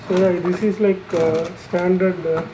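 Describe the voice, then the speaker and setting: A person giving an unscripted, explanatory product demonstration in a halting, matter-of-fact way, pausing mid-phrase. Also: mid-range voice, little pitch movement, noisy background. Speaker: installer presenting a product tutorial.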